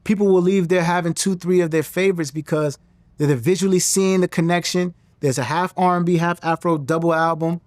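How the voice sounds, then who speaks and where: A man, talking in an interview in a confident, animated way and often restarting his sentences. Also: fairly high pitch, brisk, no room sound. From Nigerian-American. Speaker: interview guest, singer and actor. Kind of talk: casual interview talk.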